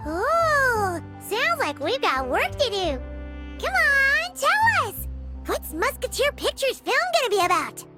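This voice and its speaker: A woman voicing a tiny, excitable, childlike fairy companion in a video game, squeaky, chatty and full of exclamations. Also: slow pace, loud music behind the voice, heavily acted. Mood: curious, teasing. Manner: squeaky, exclaiming, chatty, speaks of herself by name. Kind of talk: video game character dialogue.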